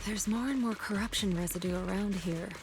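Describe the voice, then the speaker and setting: A woman's voice: medium pitch, fairly quick, crowd noise, natural voice. A woman voicing a composed, commanding young mystic fighter in an anime-style video game. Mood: watchful. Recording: game audio with loud combat noise.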